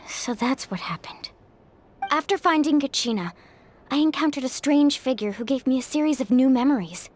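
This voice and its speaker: A woman's voice: high voice, expressive, normal speed, a little hiss or hum. A woman playing an earnest, thoughtful young hero in a video game, reflective and a little hesitant. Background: game music.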